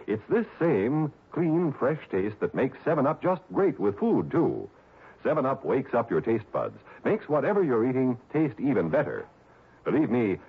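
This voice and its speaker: A narrow, muffled vintage radio recording features a male announcer selling in 1960s style. His voice is very husky, and his emphatic delivery swings widely up and down. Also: medium pitch. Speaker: commercial announcer. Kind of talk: 1960s radio advertisement read.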